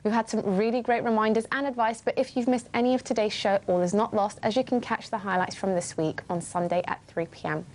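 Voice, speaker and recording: A woman hosting a panel discussion on a broadcast show, talking in a gentle, earnest way and adding many pious interjections. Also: on the high side, fairly even intonation, fast, few pauses. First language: not Arabic. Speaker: host.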